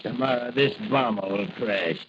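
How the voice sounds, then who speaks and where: An actor playing a smug, crooked saboteur henchman with gravelly, slangy gangster talk in 1940s radio style. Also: medium pitch, moderate pace, close to an everyday voice. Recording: muffled old radio recording, faint noise.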